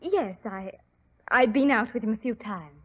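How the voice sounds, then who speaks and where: Young society woman, fairly high voice, anxious, earnest, melodramatic, muffled vintage radio sound. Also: moderate pace, slightly rough. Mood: demure, hesitant. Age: young adult. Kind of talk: old-time radio mystery acting. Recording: dull, muffled 1940s radio recording.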